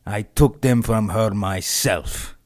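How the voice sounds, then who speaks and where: An actor playing an arrogant, menacing henchman in a pulp radio drama, measured and smug. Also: fairly low pitch, a little mellow. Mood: defensive. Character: arrogant, menacing male henchman plotting against humans.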